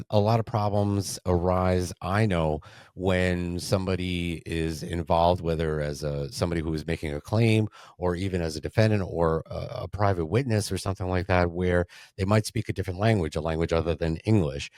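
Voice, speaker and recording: A man with a very low voice hosts a podcast as an attorney, asking long, rambling questions in a friendly, chatty way. The recording is dry, with no room sound. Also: steady tone, moderate pace, a little mellow, no echo. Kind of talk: podcast interview hosting.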